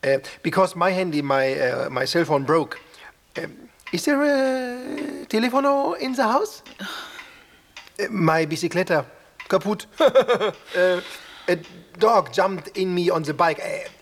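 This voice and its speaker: A bumbling German husband on holiday in a radio play. He speaks slowly and haltingly with a German accent, awkward but cheerful, fumbling for words. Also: sits high, lively intonation, a little hiss or hum, full character voice. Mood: flustered.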